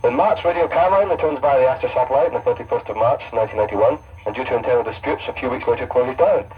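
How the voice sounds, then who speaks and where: A man's voice: mid-range voice, racing. A man presenting on radio in a casual, unpolished way, reading loosely from notes. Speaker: shortwave radio station presenter. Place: pirate radio station broadcasting from Scotland. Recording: tinny, distant shortwave radio broadcast.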